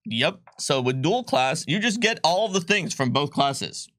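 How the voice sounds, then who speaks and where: A man walks through tabletop rules in a loose, rambling way, peppering his talk with likes and ums. His voice is bright and forward, captured dry and close. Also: a little high, very animated, a little slow, no echo. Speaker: game designer presenting rules. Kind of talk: casual livestream rules explainer.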